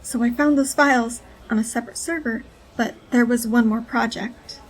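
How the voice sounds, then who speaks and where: A woman's voice: fairly high pitch, steady tone, normal speed, lightly acted, rough recording. An actress playing a wary, resolute female informant who speaks in an understated, matter-of-fact way in an audio drama.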